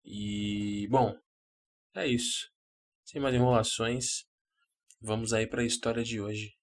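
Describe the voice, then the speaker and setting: A man's voice: lowish voice, flat delivery, slow pace. A man with a Brazilian accent, speaking as the narrator and host of a horror story podcast.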